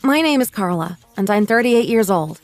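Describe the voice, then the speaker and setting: A woman's voice: mid-range voice, lively intonation, normal speed, no echo, soft background music. A woman narrating a scripted first-person story.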